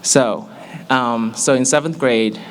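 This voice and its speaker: A young man in his early twenties with an American accent, giving a humorous personal testimony to an audience in an animated, playful storytelling manner. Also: medium pitch, slow, slight background noise, poor audio. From Malaysia.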